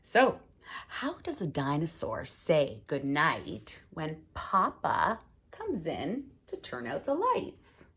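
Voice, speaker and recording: A middle-aged woman hosting a storytime for small children, reading aloud in an expressive, exaggerated way with dramatic pauses. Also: sits low. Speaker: host of a children's sign language storytime.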